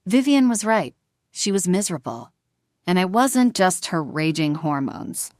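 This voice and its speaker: Low-voiced woman narrating drama, dry studio sound. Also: big pitch swings, unhurried, darkish tone, dry recording. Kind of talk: dramatic scripted audio story narration.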